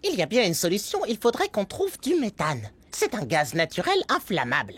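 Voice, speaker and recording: An actress voicing an earnest, brainy little microbe friend in a cartoon, who talks in a teacherly way. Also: female voice, mid-range voice, huge pitch swings, a little fast, breathy edge, no echo. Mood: explanatory. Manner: earnest, didactic. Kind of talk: French-language cartoon dubbing. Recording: loud music behind.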